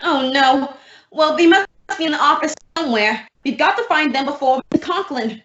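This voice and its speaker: A wisecracking schoolmarm acted by a woman from a radio comedy, dry and sardonic in her delivery. Her voice is high and bright, in a roomy recording. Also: normal speed. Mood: brisk. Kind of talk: old-time radio comedy acting. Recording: roomy, dull lo-fi sound.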